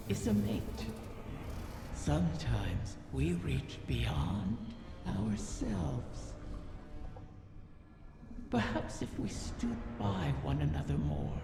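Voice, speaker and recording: An actor playing an ancient, sly and mournful wish-granting dragon in a video game, with gravelly voice, slow theatrical musing and cavernous reverb. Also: male voice, fairly high pitch, exaggerated voice. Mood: wistful. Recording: heavily reverberant, cavernous processed voice. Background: music.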